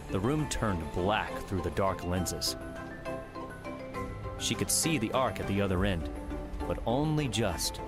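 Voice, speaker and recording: A man narrating an audiobook in a measured, steady storytelling manner. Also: lowish voice. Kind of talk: scripted narration. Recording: music bed under the voice.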